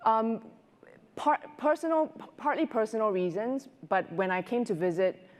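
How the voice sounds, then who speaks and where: A middle-aged woman from Singapore answering conversationally as a panelist, halting as she thinks aloud, on a lapel mic on a large stage. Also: on the high side, lively intonation, a little mellow. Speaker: panelist, restaurateur and business owner. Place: large indoor conference stage. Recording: echoey auditorium stage, long reverb.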